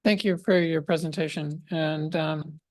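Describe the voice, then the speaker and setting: Flat-voiced councilman asking careful questions. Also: on the high side, unhurried, no room sound. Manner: careful, hesitant, many fillers. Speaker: city councilmember.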